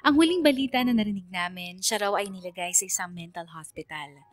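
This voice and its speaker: A woman performing solemn, measured first-person narration as a haunted letter sender in a radio drama. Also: mid-range voice, faint music behind, lightly acted. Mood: reflective, somber.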